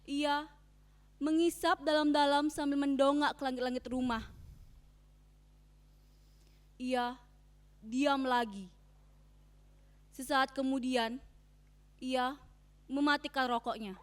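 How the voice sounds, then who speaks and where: A woman's voice: high voice, steady tone, slow pace, long pauses. A woman narrator reading scripted stage directions in a dramatic reading. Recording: guitar music behind the voice.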